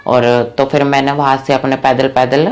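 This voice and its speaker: A woman's voice: rumbling low, little pitch movement, moderate pace. A young adult woman from Haryana, telling her life story in a plain, earnest way with many fillers. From Rohtak, Haryana, India. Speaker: interviewee. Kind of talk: personal life story told to camera.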